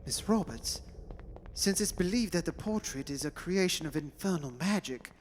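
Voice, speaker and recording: Performer as a polite young fiancé, echoey and animated. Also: mid-range voice, normal speed, natural voice. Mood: earnest, dutiful. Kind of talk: radio play acting. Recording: echoey hall, faint background hiss.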